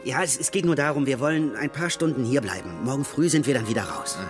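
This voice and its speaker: An actor playing a cynical, self-pitying drug dealer on the run in a radio crime drama, sardonic and self-justifying. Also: male voice, medium pitch, fast, natural voice. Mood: polite. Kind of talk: German radio crime drama, dialogue and narration. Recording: music behind the voice.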